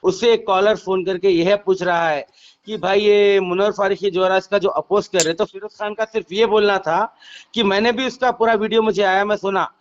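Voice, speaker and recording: A man's voice: high voice, fairly even intonation, normal speed. A man with a Hyderabadi accent working as a reporter, asking probing questions on a recorded phone call. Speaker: reporter conducting an interview.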